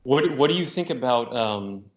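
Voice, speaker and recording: A man's voice: mid-range voice, expressive, moderate pace, a little hiss or hum. A man hosting an interview who asks his questions hesitantly, stumbling and repeating words. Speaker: interviewer, host.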